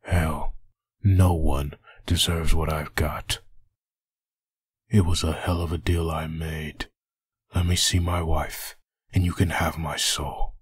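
A man voicing a brooding, vengeful undead antihero in a husky growl, delivering a grim inner monologue for a comic dub. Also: very deep voice, level tone, slow, no room sound, exaggerated voice. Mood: bitter.

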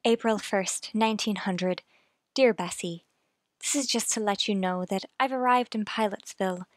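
A woman's voice: medium pitch, moderate pace, dry recording, studio quality, lightly acted. A woman playing a genteel, dreamy young lady in an old-time radio drama. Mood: confiding. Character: genteel young single woman writing letters, intrigued, dreamy.

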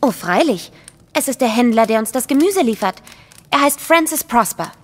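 An actress playing a polite, composed young gentlewoman with a formal, earnest manner, in a German audio drama. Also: a little high, expressive, normal speed, long reverb tail.

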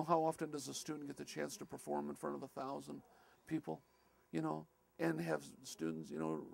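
Man, 50s, chapel leader; reflective interview, gravelly, slightly higher pitch. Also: a little mellow. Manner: reflective, earnest. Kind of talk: documentary interview. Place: office with shelves of binders. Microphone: lapel.

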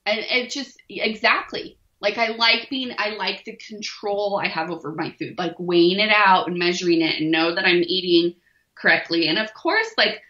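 Woman in her forties, self-deprecating, bright voice, dull roomy audio. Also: mid-range voice, moderate pace. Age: 40s. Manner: open, self-deprecating, laughs easily. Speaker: interview guest, weightlifter. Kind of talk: candid podcast interview.

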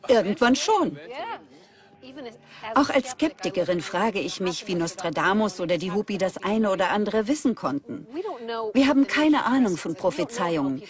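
A woman's voice: mid-range voice, expressive, normal speed, crowd noise, lightly acted. A woman in a dubbed documentary conversation, speaking as one of the team.

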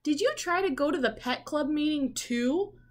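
A woman's voice: high-pitched, expressive, moderate pace, a little hiss or hum, pitched above the performer's own voice. A woman voicing an earnest little girl with a pet skunk in a storybook read-aloud. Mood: curious.

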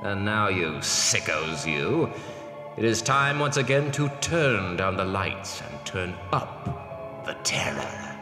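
A man's voice: deep voice, big pitch swings, heavily acted. A man playing a sinister, playfully creepy horror announcer who relishes rhymes and wordplay in a theatrical introduction. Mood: ominous. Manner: slow, ominous, relishing wordplay. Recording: echoey long reverb, loud music bed.

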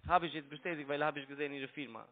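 A man with a slightly high voice speaks in broken German, his first language being Italian. He presses and mocks the person on the other end. The sound is thin and tinny, as over a phone line. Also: very fast. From Italy. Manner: broken German, pushy and mocking. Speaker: call center trading broker. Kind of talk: phone call.

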